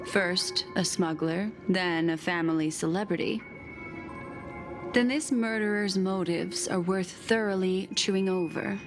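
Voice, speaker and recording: A woman playing a poised, enigmatic and courteous guide in a video game, speaking in a measured way. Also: medium pitch, close to an everyday voice. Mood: analytical, grave. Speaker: game character. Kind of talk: scripted video game dialogue. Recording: music behind the voice.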